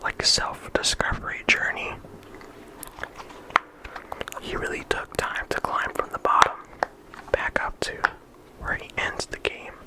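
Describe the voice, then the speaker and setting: Hushed ASMR whisper, casual and halting. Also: low-quality recording. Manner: casual, halting phrasing with frequent "like" and "you know". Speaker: video creator and host. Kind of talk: whispered ASMR character discussion.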